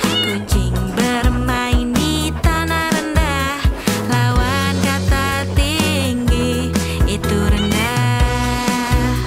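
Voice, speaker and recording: A woman singing an educational children's song over loud musical backing. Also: a little high, steady tone, very slow, over loud music.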